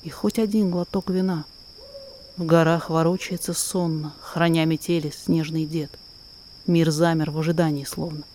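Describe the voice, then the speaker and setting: A woman narrator reading a poetic story aloud in expressive, measured, dramatic verse. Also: deep voice, slight background noise.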